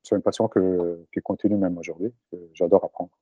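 A man's voice: low voice, steady tone, a little fast, warm tone, no room sound, highs cut off. A man in his forties from Italy, a native Italian speaker, answering interview questions with many fillers and restarts. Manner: hesitant, frequent fillers and restarts. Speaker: interview guest, entrepreneur.

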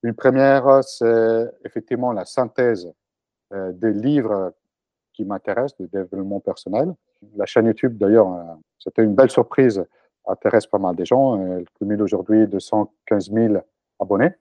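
Hesitant Italian man, low dark voice. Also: moderate pace, dry recording, muffled recording. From Italy. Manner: hesitant, frequent fillers and restarts. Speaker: interview guest, entrepreneur. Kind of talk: casual interview answers.

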